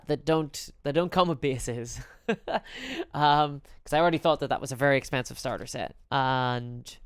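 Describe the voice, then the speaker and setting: A woman with a Belfast accent, chatting casually and candidly as a live streamer in an animated, conversational way. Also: extremely low pitch, clear, resonant tone, no echo. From Northern Ireland. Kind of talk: casual chat to a live audience.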